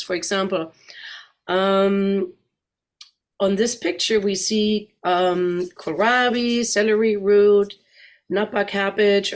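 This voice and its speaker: A middle-aged woman with a German accent, a guest speaker walking a club audience through slides in a chatty way and asking them questions. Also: medium pitch.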